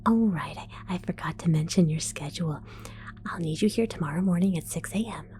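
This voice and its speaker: A woman voicing a scatterbrained female mad scientist in an ASMR roleplay, whispering softly, giggling and stammering as she falsely reassures. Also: fairly low pitch, a little fast, soft background music, natural voice. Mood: sly whisper. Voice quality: soft whisper.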